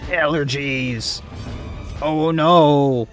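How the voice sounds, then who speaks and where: Streamer man, slow and a bit high, hoarse, chatty with laughs, faint game music behind. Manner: chatty, laughs often, reads chat aloud. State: losing his voice. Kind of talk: casual gameplay commentary.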